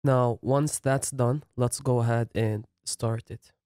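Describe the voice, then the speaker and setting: A man's voice: mid-range voice, fairly even intonation, takes it slowly, darkish tone, clean tone, no echo, clean studio sound. A man narrating a screen-recorded coding tutorial, explaining each installation step plainly. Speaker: software tutorial instructor.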